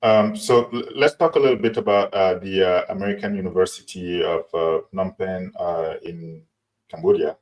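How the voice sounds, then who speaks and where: A man hosting an interview, asking long questions with frequent pauses and restarts. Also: deep voice, fairly even intonation, poor audio. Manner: halting, many fillers and restarts. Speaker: interview host.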